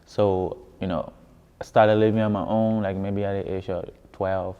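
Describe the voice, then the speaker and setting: A man's voice: low-pitched, flat delivery, normal speed, smooth. A man from Ghana with a Ghanaian accent telling his story in a casual interview, often repeating words for emphasis. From West Africa, grew up in Ghana. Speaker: musician being interviewed. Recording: echoey hall, dull muffled sound.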